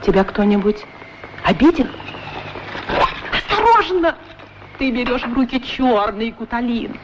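A woman's voice: a little high, animated, measured pace. A woman voicing a pair of chatty, haughty, curious lady's boots in a 1960s radio play, with a pert, demanding, questioning manner. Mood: curious, prying. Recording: muffled old radio recording, loud background noise.

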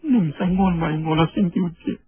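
Guy doing a heartbroken naive young woman, cantonese, high pleading raspy voice, storybook drama. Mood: despairing, sorrowful. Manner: plaintive, drawn-out, tearful. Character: distraught, lovelorn young woman, naive and despairing. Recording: dull lo-fi radio sound with room echo.

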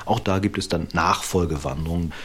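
Husky low-voiced male historian. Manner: deliberate, explanatory, builds long lists. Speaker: historian interviewed as expert. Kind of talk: expert interview.

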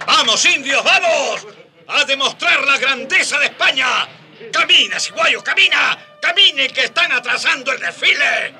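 A man's voice: very high voice, lively intonation, moderate pace, pushed and bright, faint music behind, heavily acted. An actor in a radio drama playing a harried, vainglorious conquistador father, commanding and urgent.

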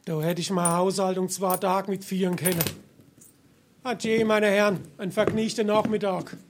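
A man playing a grumbling, henpecked family father in a Darmstadt Hessian dialect tavern comedy, exasperated and husky. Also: high-pitched, flat delivery, normal speed, background noise. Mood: annoyed. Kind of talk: dialect stage comedy acting.

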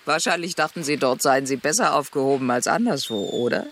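A woman's voice: extremely low pitch, brisk, slight background noise. An actress playing a fussy, caring older neighbour woman in a radio drama, chatty and motherly. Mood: flustered.

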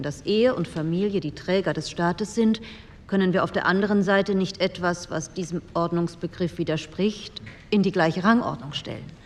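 An actress as a strict conservative female politician of the late 1940s delivers a formal, didactic speech. Her mid-pitched voice carries through a reverberant hall with faint noise behind it. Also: close to an everyday voice. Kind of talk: formal parliamentary speech, re-enacted.

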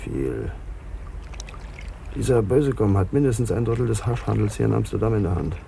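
An actor in a German radio crime drama playing a wounded, lonely Papuan man confessing with bitter resignation in a gravelly, subdued voice. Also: on the low side, lively intonation, fairly quick, warm tone, slight background noise. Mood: subdued confession.

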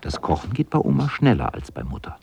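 An actor narrating a children's radio play as a plain-spoken storyteller with a husky voice. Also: male voice, sits low, wildly swooping pitch, fast talker, darkish tone, slight background noise, exaggerated voice. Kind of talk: scripted narration in a radio drama.

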